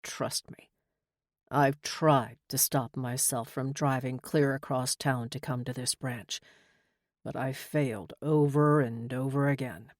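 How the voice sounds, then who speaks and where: A male actor voices a romance hero, a smug and seductive military man with a brooding streak. He speaks in an intimate, teasing way at a middling pitch. The sound is dry, with no room around it. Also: fairly even intonation, takes it slowly, no echo, close to an everyday voice. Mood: earnest, brooding. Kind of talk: audiobook romance dialogue.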